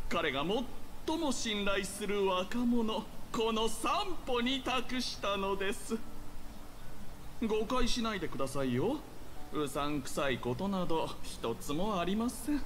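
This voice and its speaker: A man's voice: high voice, a bit bright, a little hiss or hum, full character voice. An actor playing a slick, theatrically polite young hotel owner with showy salesman patter, in an anime game. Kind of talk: Japanese anime game voice acting.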